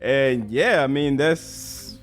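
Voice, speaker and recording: Medium-pitched man hosting a casual interview. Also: slow pace, soft background music. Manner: hesitant phrasing, encouraging interjections. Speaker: interviewer, host.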